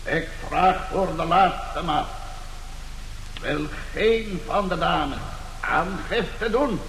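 A stern, officious policeman in an old radio play. His delivery is slow, formal and insistent, with a gravelly creak. The noisy recording echoes around him. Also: sits high, lively intonation, fairly bright tone, exaggerated voice. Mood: stern, official. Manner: formal, deliberate.

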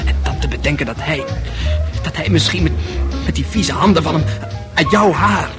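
A fairly high male voice with lively swings in pitch, an awkward young suitor in a radio play. He is tender and earnest, stumbling over and repeating his words, with music clearly audible behind him. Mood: concerned, indignant. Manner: halting, stammering, repeats words.

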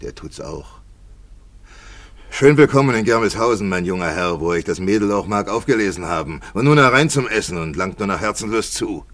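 A man's voice: fairly low pitch, expressive, brisk, a bit bright. A man playing a hearty, hospitable rustic village mayor in a German radio play, speaking in a jovial, bluff manner. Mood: hearty welcome.

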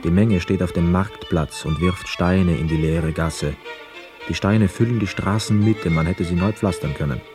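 An actor playing a grave first-person narrator in a literary radio drama, telling it in a measured, sombre way. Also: male voice, low-pitched, over music, natural voice. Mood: grave, urgent. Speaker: narrator and main character.

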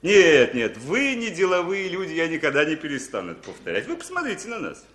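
A worldly capitalist in a vintage radio drama, with a highish, very lively voice in a roomy space. He is jovial, talkative and insistent, doubling his words as he persuades. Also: brisk. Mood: insistent, persuasive. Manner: chatty, repeats words, chuckling, insistent. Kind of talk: 1960s Soviet radio play acting.

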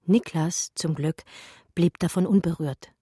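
Hushed, mellow, grieving art historian. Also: female voice, on the low side, a little slow, breathy edge, no echo, clean studio sound. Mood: thoughtful. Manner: reflective, lyrical, hushed. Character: Natascha, pensive art historian grieving her lost lover. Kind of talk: intimate radio play monologue.

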